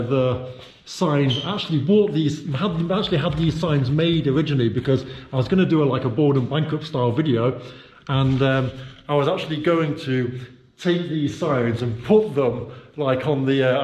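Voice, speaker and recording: A British man talks casually into a handheld camera while walking around his office. His voice sits at an ordinary middle pitch, and he rambles with plenty of fillers and restarts. The room around him is echoey. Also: darkish tone. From United Kingdom. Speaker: vlogger. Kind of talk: casual walking-tour vlog. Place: his own indoor office and studio. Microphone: handheld camera mic.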